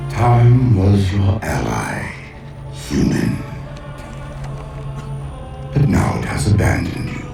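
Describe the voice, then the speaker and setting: Booming, gravelly ancient alien warlord. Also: male voice, very animated, over music, full character voice. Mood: contemptuous, menacing. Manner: grandiose, solemn, deliberate. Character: ancient imperious alien warlord, male, contemptuous and menacing. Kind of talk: video game villain monologue. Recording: heavy echo, distant, over game score.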